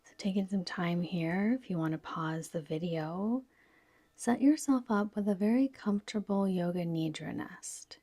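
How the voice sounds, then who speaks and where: Woman, yoga nidra guide, soft whispery delivery, slightly low pitch, slow pace, soothing instruction. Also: no echo. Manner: soothing, gentle, unhurried instruction. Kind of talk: guided relaxation meditation.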